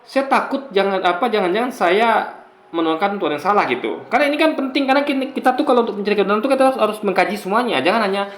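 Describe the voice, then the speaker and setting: High-voiced man in an echoey room. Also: expressive, fairly bright tone. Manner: halting and repetitive, explanatory, often checks listeners follow. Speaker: YouTuber. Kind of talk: casual commentary monologue to viewers.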